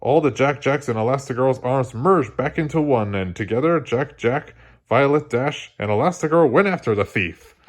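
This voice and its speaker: A man narrating a children's story aloud in an expressive read-along style. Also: mid-range voice, moderate pace. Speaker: storybook reader.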